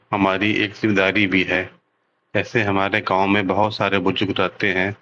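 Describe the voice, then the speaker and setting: A man playing a calm, earnest village headman who addresses villagers in a measured, moralizing way in a children's cartoon. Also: sits low, highs cut off, a little hiss or hum. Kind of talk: Hindi children's cartoon dialogue, speech to villagers.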